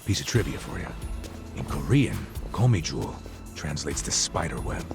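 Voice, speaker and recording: A scruffy middle-aged know-it-all in a game dub. His low voice is full of gravel and rises and falls in an animated way. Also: moderate pace, over music, heavily acted. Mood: easygoing. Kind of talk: English video game dub acting.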